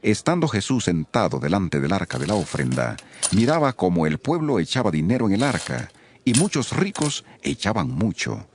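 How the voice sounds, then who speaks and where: Low-voiced male narrator with lively intonation. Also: normal speed, slight background noise. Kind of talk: scripted scripture narration.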